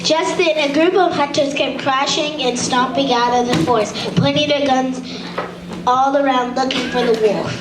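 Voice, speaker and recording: A woman's voice: high voice, steady tone, moderate pace. A woman reading a children's story aloud as narrator of a puppet show, sometimes stumbling over the words. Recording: echoey room, far from mic, noisy.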